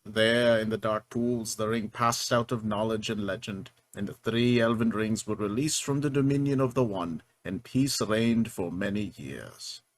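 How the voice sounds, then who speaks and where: A solemn fantasy storyteller, voiced by a man narrating from a script. His voice is lowish, his delivery portentous and dramatic, and the sound is very dry. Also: unhurried, hardly any pauses, no echo, lightly acted. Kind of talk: scripted narration at a table read.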